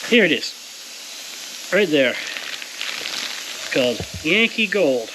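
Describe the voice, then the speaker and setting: A man's voice: a little high, big pitch swings, takes it slowly, background noise. A man hosting a casual workshop vlog, speaking to viewers in an informal, folksy way. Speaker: host of a powder coating workshop video. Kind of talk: casual to-camera shop vlog.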